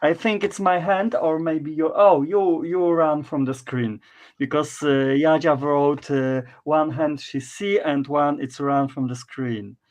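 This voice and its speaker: A man with a Polish accent hosting a craft livestream, speaking halting English with many fillers. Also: a little high, fairly even intonation. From Poland. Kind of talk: casual livestream chat.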